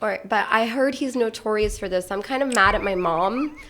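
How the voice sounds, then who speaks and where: A chatty woman who grew up in Pakistan sits in as a podcast guest. She tells her stories in a loose, filler-heavy way and laughs as she goes, her voice sitting in a middle pitch. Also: normal speed, fairly bright tone, a little hiss or hum. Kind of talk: casual storytelling chat.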